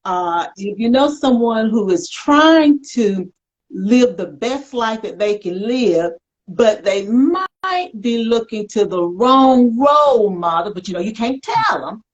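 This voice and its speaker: A woman's voice: a little high, animated, slow, dull audio. An American woman hosting a casual interview in a chatty, playful, folksy way. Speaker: talk show host.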